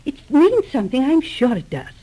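Earnest, highly expressive noblewoman. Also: normal speed, exaggerated voice, rough recording. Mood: anxious pleading. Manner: earnest, dramatic, insistent. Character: anxious, determined English noblewoman, mother of grown son. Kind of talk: 1940s radio drama acting.

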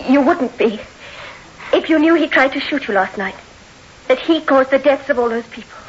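High-voiced, shaken widow. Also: female voice, expressive, moderate pace. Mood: shaken, grave. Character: shaken, grieving, newly widowed woman. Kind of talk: 1960s radio drama acting. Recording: muffled, hissy old radio recording.

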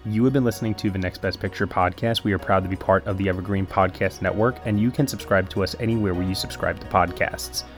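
A man wrapping up a podcast with a practiced, upbeat sign-off. His voice sits a little low. Faint music plays underneath him. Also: steady tone, fast, few pauses. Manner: upbeat, practiced announcer delivery. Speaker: podcast host, outro. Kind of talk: read podcast outro.

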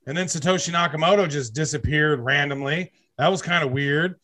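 A man's voice: a little high, fairly quick, bright, forceful tone. A man in a casual podcast conversation, telling things in an eager, storytelling way.

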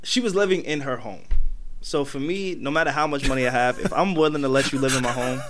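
A man's voice: medium pitch, fast, over music. A man hosting a casual podcast chat who steers the conversation and jokes with the guests. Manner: steers the talk, affirming and joking. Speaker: podcast host.

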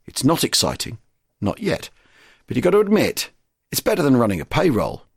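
A man's voice: mid-range voice, huge pitch swings, brisk, breathy edge, no echo, clean studio sound. A man narrating a scripted history programme in a dramatic, explanatory manner. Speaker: podcast narrator.

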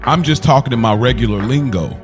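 A man's voice: mid-range voice, quick pace. A man co-hosting a podcast in an informal, rambling chat, repeating phrases for emphasis. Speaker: podcast co-host. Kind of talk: casual chat. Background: music.

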